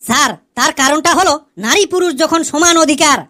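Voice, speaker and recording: A woman's voice: sits high, moderate pace, bright, forceful tone, a little hiss or hum. A woman voicing a cheeky male pupil in a comedy cartoon, explaining things to the teacher with mock earnestness. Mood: mock-earnest. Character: cheeky male pupil Kalu, joking about wives and women.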